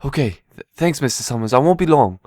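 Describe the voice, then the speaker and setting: A man playing a gentle, childlike, simple-minded young man in an audio drama, speaking in an earnest, polite way. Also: medium pitch, lively intonation, quick pace, no echo, studio quality, close to an everyday voice. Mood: grateful, polite.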